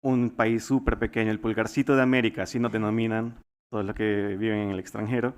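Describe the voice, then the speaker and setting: A man's voice: fairly low pitch, level tone, fast talker, smooth. A man in his twenties from El Salvador giving a formal talk at a youth summit, earnest, with frequent fillers and restarts. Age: 20s.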